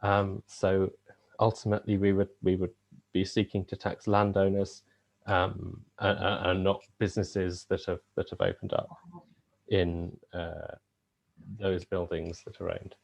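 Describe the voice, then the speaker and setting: A man with a very deep voice speaks as a candidate at a hustings. His voice has a gravelly fry. He talks haltingly, with pauses and repeated words. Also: flat delivery, muffled recording. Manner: halting, with many fillers and repeats. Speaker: party candidate. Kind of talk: hustings speech.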